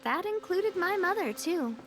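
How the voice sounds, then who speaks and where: A woman's voice: extremely high pitch, animated, a little slow. An actor, a young woman, playing a breezy, friendly young female leader in a video game, with music behind the voice. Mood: wry, chatty. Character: bright, breezy young woman, boss of an organisation. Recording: background music under the voice.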